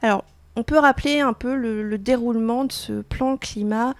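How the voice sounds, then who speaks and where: A woman in her forties hosting a radio magazine, with a fairly high voice and a clear creak in it. She presents and puts questions to a guest, recorded close and dry in a studio. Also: moderate pace, no echo. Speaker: radio host, interviewer. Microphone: close handheld-style studio microphone.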